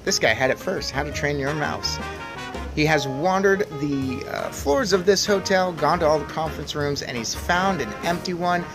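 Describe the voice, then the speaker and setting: A man's voice: a little high, normal speed, music in the background, poor audio. A man reading aloud and chatting enthusiastically as host of a children's read-aloud. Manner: chatty and enthusiastic, putting questions to young listeners. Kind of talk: storybook read-aloud with casual commentary.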